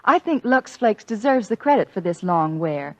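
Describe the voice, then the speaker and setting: A woman playing a satisfied housewife in an old radio commercial. She delivers her testimonial earnestly at a middling pitch, in a dry studio. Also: big pitch swings, moderate pace, dry recording, close to an everyday voice. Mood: pleased, earnest. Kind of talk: 1940s radio ad testimonial reading.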